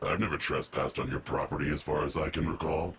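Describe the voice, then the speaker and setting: A man voicing a wary, gruff shady agent and debt collector in a fantasy video game. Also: very deep voice, fairly even intonation, quick pace, very noisy. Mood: guarded, curt.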